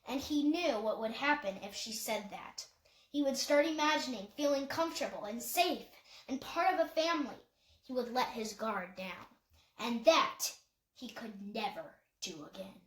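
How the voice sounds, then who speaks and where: A woman's voice: fairly high pitch, expressive, moderate pace, echoey room, low-quality recording. A woman reading a novel aloud as scripted audiobook narration. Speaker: audiobook reader.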